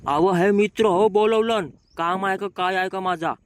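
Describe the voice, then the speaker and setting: A man's voice: sits high, steady tone, moderate pace, dry recording, lightly acted. A man voicing a brash, grumbling young village man with a rural Marathi accent, bickering in slang in a comic cartoon. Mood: grumbling banter.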